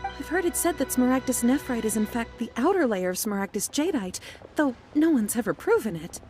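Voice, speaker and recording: An actress playing a modest, knowledgeable gem appraiser in a game who explains in a measured way. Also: female voice, on the high side, animated, lightly acted. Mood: explaining. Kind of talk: game voice acting. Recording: studio voice over game music.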